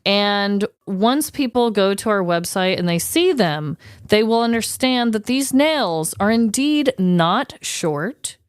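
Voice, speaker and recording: A woman's voice: medium pitch, expressive, slow pace, clear, resonant tone, no echo, clean studio sound. A woman co-hosting a podcast, telling a story and talking to listeners. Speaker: podcast co-host. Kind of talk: scripted storytelling narration, then casual chat.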